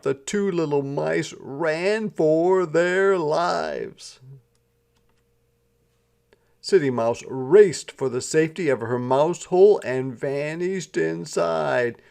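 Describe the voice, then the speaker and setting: Slow, creaky-voiced man reading a storybook. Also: a little high, fairly bright tone. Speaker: storyteller reading a children's book aloud. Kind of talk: expressive scripted storybook narration.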